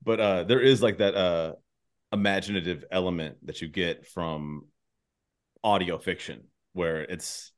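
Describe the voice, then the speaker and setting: A man with a deep, low voice hosts a casual conversation. He feels his way through each thought, stopping and restarting as he rambles along in an informal interview style. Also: fairly even intonation. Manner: halting, rambling delivery. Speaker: podcast host.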